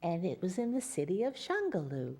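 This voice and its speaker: A woman reading a picture book aloud as a Mother Goose storyteller, pausing for chatty explanations to young listeners. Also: lowish voice, huge pitch swings, dark tone, breathy edge. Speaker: children's storyteller and host.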